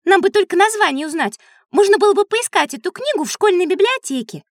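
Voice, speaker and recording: A woman's voice: very high-pitched, expressive, fast talker, dry recording, studio quality. A woman voicing a curious, thoughtful, polite little girl in a children's audio play.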